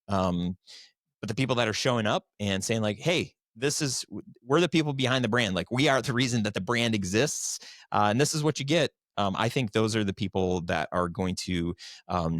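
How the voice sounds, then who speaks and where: A man's voice: mid-range voice, a bit bright, no echo, clean studio sound. A middle-aged man talking informally and earnestly as a guest in a conversational podcast interview. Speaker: podcast guest, video company founder.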